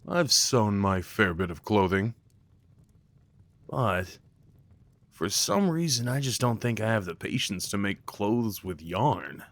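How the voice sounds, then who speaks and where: A man's voice: on the low side, lively intonation, takes it slowly, pauses often, no echo. A man playing an affectionate, playful boyfriend in an intimate ASMR roleplay, talking tenderly and rambling with light teasing. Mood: relaxed, rambling. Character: affectionate, playful, crafty boyfriend cuddling his partner.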